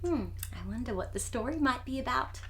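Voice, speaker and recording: A woman hosting a storytime, reading a picture book aloud to young children in an expressive, wonder-filled way. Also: on the high side, fairly quick, a little hiss or hum. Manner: wide-eyed wonder, exclamations and gasps between passages.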